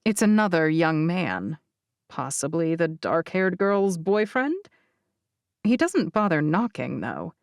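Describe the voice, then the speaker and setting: Expressive woman narrator, unhurried and lively. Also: on the low side, no echo, clean studio sound. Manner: expressive, deliberate phrasing. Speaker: audiobook narrator. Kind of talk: scripted first-person novel narration.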